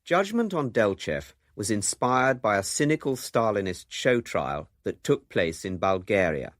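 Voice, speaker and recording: A man's voice: fairly low pitch, very animated, measured pace, dry recording, clean studio sound. A man giving a scripted narration for a radio documentary.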